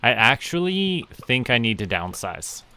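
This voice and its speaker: A young man from Canada giving relaxed, musing commentary as he plays, often talking to himself. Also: mid-range voice. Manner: slow, musing, talks to himself while playing. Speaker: VTuber streamer.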